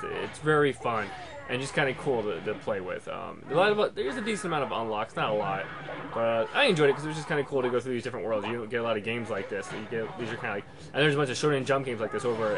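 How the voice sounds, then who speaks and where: A man hosting a casual, unscripted video game review in a rambling, loosely worded way. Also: medium pitch.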